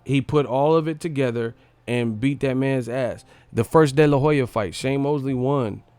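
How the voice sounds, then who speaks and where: A man's voice: medium pitch, moderate pace, darkish tone, smooth, no room sound. Blunt man talking boxing, dry close sound. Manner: blunt, opinionated, slangy, swearing. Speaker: livestream host, boxing commentator. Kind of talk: casual unscripted livestream fight breakdown.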